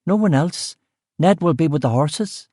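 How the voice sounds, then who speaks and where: A woman's voice: low-pitched, expressive, unhurried, mellow, dry recording, studio quality, pitched above the performer's own voice. A man voicing an annoyed middle-aged female cook with a West Belfast accent, giving short guarded answers. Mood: curt. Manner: curt, guarded replies. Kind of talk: audiobook character voice.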